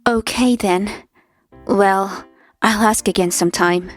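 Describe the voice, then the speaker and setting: A woman's voice: medium pitch, steady tone, slow, soft background music. A woman playing a polite, persistent young office worker with a crush in a scripted manga dub. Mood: hopeful, probing.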